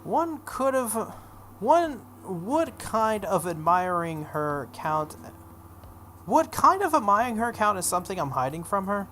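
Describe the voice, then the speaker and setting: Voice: mid-range voice, very animated, measured pace, fairly bright tone, a little hiss or hum. A video game playthrough host reading a story aloud dramatically, with casual offhand asides. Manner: acts out dialogue, breaks off for offhand comments.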